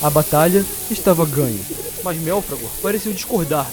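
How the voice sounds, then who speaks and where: Higher-voiced Brazilian man narrating fantasy. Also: animated, moderate pace. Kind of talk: dramatic scripted fantasy storytelling. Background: music.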